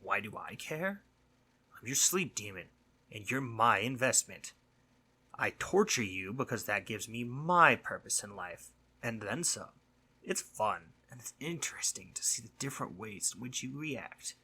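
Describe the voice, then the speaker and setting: A man playing a mischievous but concerned sleep demon in a roleplay audio monologue, husky and conversational, earnest and teasing, sometimes dropping to breathy near-whispers. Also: mid-range voice, expressive, measured pace, pushed and bright, full character voice. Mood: fond, insistent.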